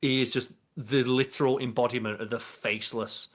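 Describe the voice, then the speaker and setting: A man's voice: medium pitch, fairly even intonation, brisk, a bit bright. Opinionated, sweary man in his thirties. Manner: opinionated, sweary, mock-quoting. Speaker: podcast co-host. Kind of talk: casual chat. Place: home, at a desk indoors.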